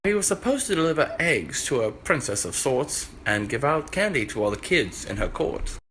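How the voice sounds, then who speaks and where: A man tells a playful fairytale in rhyme. His voice is bright and very animated, swinging up and down. The recording has clear noise. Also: mid-range voice, moderate pace, rough recording. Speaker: storyteller, host. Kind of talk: playful rhyming fairytale narration.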